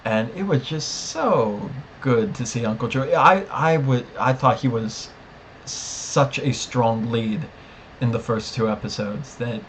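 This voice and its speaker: A man's voice: mid-range voice, slight background noise, poor audio. A man co-hosting a casual TV review podcast, speaking emphatically and repeating words for stress. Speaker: podcast co-host discussing a TV series.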